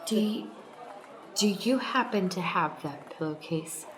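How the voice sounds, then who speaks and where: A pretentious mystic adventurer, played by a woman, speaks with pompous self-regard. The improvised comic radio play has a clear room echo. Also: lowish voice, measured pace, fairly bright tone, some chatter behind. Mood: eager. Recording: roomy echo.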